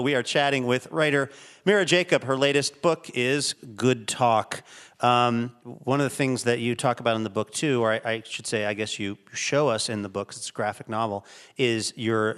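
American male radio host, mid-pitched. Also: fairly bright tone, smooth. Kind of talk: conversational interview hosting and announcements.

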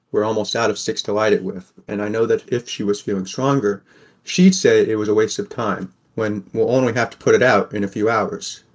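A man's voice: fairly low pitch, lightly acted. A man playing a wry, burdened teenage boy who narrates an audio drama in a plain, understated way. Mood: matter-of-fact. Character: sixteen-year-old boy, wry, burdened, caring narrator. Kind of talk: first-person scripted narration, audio fiction.

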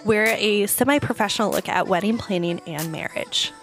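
A woman co-hosting a casual wedding podcast with her husband, joking and laughing often, her voice a bit hoarse and deep. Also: moderate pace, fairly bright tone, soft background music. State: slightly hoarse, voice gone deep. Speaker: podcast co-host.

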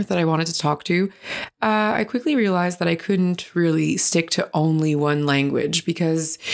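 A woman's voice: deep voice, gravelly. A woman with a Quebec accent hosting a podcast, giving a long earnest intro with fillers. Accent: Quebec French. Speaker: podcast host.

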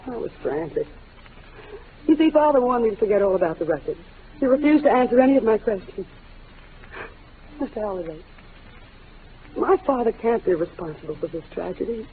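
A thin, noisy vintage radio sound frames a genteel young woman played by an actress. She is poised yet defensive, with mid-pitched, theatrical delivery from forties melodrama. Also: animated, a little fast, pauses often, close to an everyday voice. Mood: pleading, distraught. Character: poised, defensive young woman shielding her guilty father. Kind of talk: 1940s radio melodrama acting. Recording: tinny, noisy old radio broadcast.

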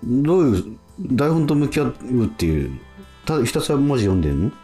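A man's voice: mid-range voice, brisk, faint music behind. A man hosting a casual radio chat, reacting readily and asking questions. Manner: animated, reactive, frequent backchannels and questions. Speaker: radio show host.

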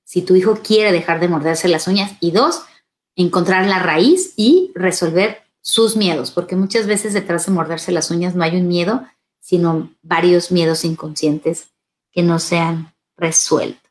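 A woman's voice: fairly low pitch, fairly even intonation, a little slow. A woman hosting a live parenting show, answering viewers' questions and speaking straight to the parents. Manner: warm advisory tone, addresses parents directly, asks "¿sale?". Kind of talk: live Q&A talk.

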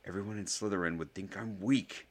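A man's voice: deep voice, moderate pace. Man playing Draco, a haughty drawling schoolboy. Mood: urgent whisper. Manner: drawling, scornful.

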